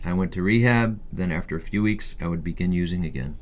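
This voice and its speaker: A man with a low-pitched voice narrates a personal memoir. He reads from a prepared script in the first person, as an audiobook narrator would. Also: fast talker.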